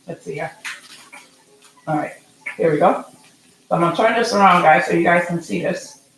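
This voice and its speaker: A woman in her forties from Senegal hosting a casual live cooking stream from her home kitchen, chatty and rambling, often asking "okay?", with a slightly hoarse voice. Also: fairly low pitch, normal speed, roomy sound, a little hiss or hum, low-quality recording. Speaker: home cook, channel host. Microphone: computer or phone camera mic.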